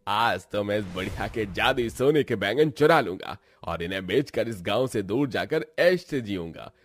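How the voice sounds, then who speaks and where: A man's voice: on the low side, lively intonation, normal speed, slight background noise. A man playing a lazy, greedy village thief in a cartoon story, exclaiming and whining. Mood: scheming, gloating.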